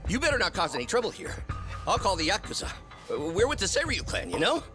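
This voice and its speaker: Sleazy blustery club boss in a game, real high squawky swings, music behind. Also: male voice, wildly swooping pitch, brisk. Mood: blustering threat. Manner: threatening, blustering. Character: shrill, sleazy, blustering male club manager. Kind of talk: dramatic video game voice acting.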